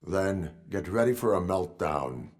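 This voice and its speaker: An actor playing a grim, dutiful cyborg police officer in a video game, speaking in a stiff, formal deadpan. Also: male voice, sits low, unhurried, slight background noise. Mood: stern, commanding.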